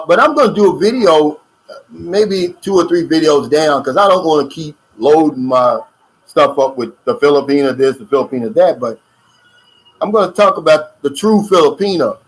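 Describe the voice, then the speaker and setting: Middle-aged male channel host, loose chatty livestream delivery, pitch a touch high. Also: expressive, normal speed, low-quality recording. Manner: loose, chatty, drawling with fillers and asides. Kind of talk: casual livestream chat reading viewer comments. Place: lives in the Philippines.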